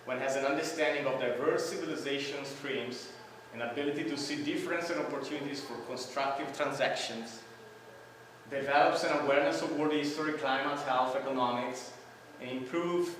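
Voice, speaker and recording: A man's voice: mid-range voice, fairly even intonation, brisk, a bit bright, a little hiss or hum. Italian-accented man lecturing in an echoey hall. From Italy. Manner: explanatory, with frequent "uh" and "right?" check-ins. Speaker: conference presenter. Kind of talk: academic conference talk. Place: large conference hall. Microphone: room microphone heard from far off. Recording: echoey hall recorded from far off.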